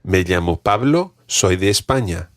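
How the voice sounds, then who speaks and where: A man teaching on a podcast. His voice sits low but swings widely in pitch as he coaches, and he takes his time, speaking at an unhurried pace. The recording is dry and close, with no room sound. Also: lively intonation, no echo. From Scotland. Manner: patient, slow model pronunciation with repeated drills. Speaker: language teacher. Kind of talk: teaching a podcast language lesson.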